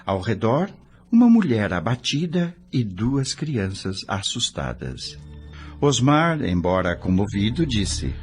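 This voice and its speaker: Male actor as awed, curious newcomer spirit narrating an audio novel, low register, animated delivery. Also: slow pace, soft background music, full character voice. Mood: awed, moved. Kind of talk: dramatized scripted narration.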